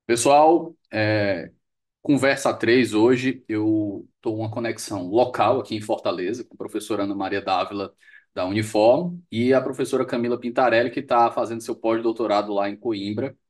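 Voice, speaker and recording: A man's voice: on the low side, normal speed, darkish tone. A man hosting a podcast, introducing his guests in a courteous, formal way. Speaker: podcast host. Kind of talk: podcast interview moderation.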